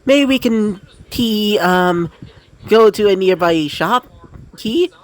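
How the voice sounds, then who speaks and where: Jack Frost, a man doing a squeaky hee-hoing snow fairy. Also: animated, slow pace. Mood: cheerful. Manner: squeaky, bouncy, adds "hee-ho".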